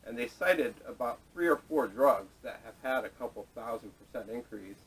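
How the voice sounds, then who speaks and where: A man's voice comes from a distance, caught on a camera microphone with poor sound. He talks casually as a podcast host, laying out his points in a measured, explanatory way at a middling pitch. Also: lively intonation, a little hiss or hum. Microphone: camera audio, away from speaker.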